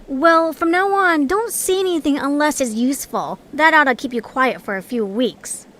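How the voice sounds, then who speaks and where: Woman as short-tempered female creature, high-pitched, sarcastic, snappy, commanding, audio story. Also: moderate pace, a bit bright. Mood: irritated, sarcastic.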